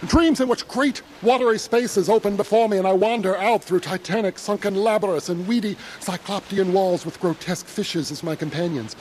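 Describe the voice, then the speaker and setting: A man's voice: high-pitched, fairly quick, few pauses, a little mellow, slight background noise, lightly acted, low-quality recording. An actor playing a haunted young man narrating a horror audio drama in an intense, literate, theatrical way. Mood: horrified. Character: haunted young male narrator, anxious, sliding into rapt madness.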